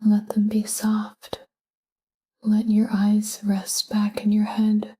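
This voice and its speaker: A woman guiding a sleep meditation in a soft, breathy whisper, calm and gentle, speaking in short, unhurried phrases. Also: mid-range voice.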